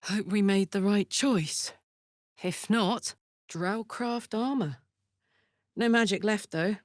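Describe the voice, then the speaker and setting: A woman's voice: mid-range voice, slow pace, no room sound, studio quality, lightly acted. A woman playing a brash, big-hearted female warrior in a video game, speaking in an earnest, blunt and slangy way. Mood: matter-of-fact.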